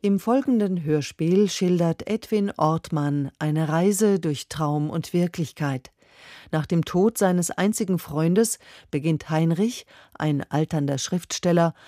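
A woman radio announcer presents a scripted drama introduction. Her voice is fairly low and mellow, recorded close and very dry. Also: measured pace, no echo.

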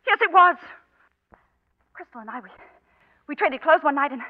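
Cold noir killer woman, really high voice, curt and demanding, tinny old radio show sound. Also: expressive, normal speed. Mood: frantic, defensive. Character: cold, evasive female killer, noir femme fatale. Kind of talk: 1940s radio noir acting. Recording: tinny 1940s radio broadcast recording.

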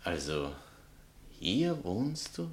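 A man's voice: low-pitched, very animated, very slow, exaggerated voice. An actor playing a pompous, affected man of letters and haughty former lover in a 1960s radio play, condescending in manner. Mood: grandly self-important.

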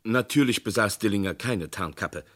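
A man narrating a radio crime drama in a measured, documentary way. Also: fairly low pitch, fairly bright tone.

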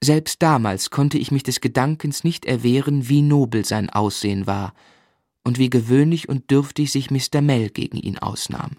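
An actor playing a grown man who fondly recalls his schooldays, narrating a literary radio drama in a measured, reflective way. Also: mid-range voice, darkish tone, no room sound, studio quality, lightly acted.

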